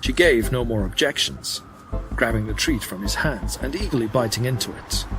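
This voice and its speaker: A man's voice: medium pitch, lively intonation, moderate pace, poor audio. A man reading dramatic scripted narration for a science fiction audio drama. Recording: prominent music bed under the voice. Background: loud music.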